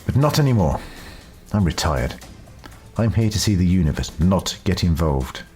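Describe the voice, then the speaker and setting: A low-pitched, world-weary alien doctor, as a male narrator plays him, speaks with wry reflection. He is a retired time traveller in an audio story, with music behind him. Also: big pitch swings, normal speed, a little mellow. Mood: resigned.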